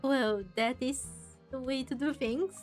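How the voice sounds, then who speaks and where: Girl doing chill lets play commentary, highish voice, faint game music under. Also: a little slow. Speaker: gaming video host. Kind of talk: casual let's-play commentary.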